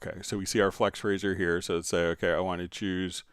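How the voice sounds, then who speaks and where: A man hosting a casual live software tutorial, thinking aloud tentatively as he demonstrates and answers viewer questions. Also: sits low, level tone, quick pace, clear, resonant tone, no room sound, clean studio sound. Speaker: livestream host and software instructor.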